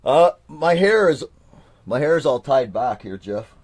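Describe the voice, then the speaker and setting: A man's voice: mid-range voice, very animated, a little slow, darkish tone, dry recording. A man in his forties chatting casually on a live stream, reading from an old journal and rambling with frequent restarts. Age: 40s.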